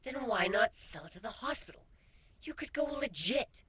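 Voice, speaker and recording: A woman playing a brazen, teasing female pony with a breathy, husky voice in a dramatic fan fiction reading. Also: on the high side, expressive, moderate pace, a bit bright, a little hiss or hum. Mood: curious. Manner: sly, blunt, provocative.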